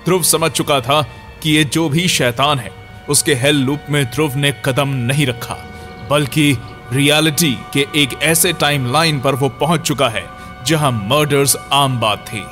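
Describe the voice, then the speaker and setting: A man's voice: on the high side, measured pace, a bit bright. A man narrating a scripted horror audio story in a dramatic storyteller's manner. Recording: music under the voice. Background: background music.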